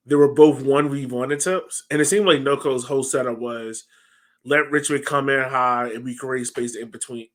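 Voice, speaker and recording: A man's voice: mid-range voice, fairly bright tone. An American man hosting a sports podcast, chatting casually on a headset over a video call. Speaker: podcast host. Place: small home room, indoors.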